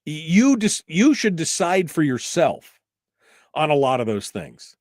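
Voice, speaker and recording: A man's voice: a little high, sing-song, slow, darkish tone, no room sound, studio quality. A male talk radio host speaking live in an emphatic, conversational, rambling way, giving his opinions and asking rhetorical questions.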